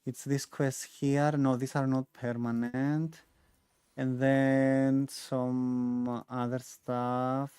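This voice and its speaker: A man's voice: medium pitch, little pitch movement, extremely slow, mellow, smooth, dry recording. A man giving casual gaming commentary as a streamer, chatty and thinking aloud as he plays. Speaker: game streamer.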